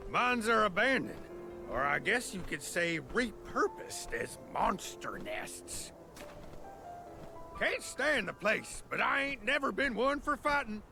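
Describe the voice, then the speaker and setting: A man's voice: high voice, full character voice. A man voicing a folksy, easygoing villager storyteller in a video game cutscene, with a drawl. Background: background music.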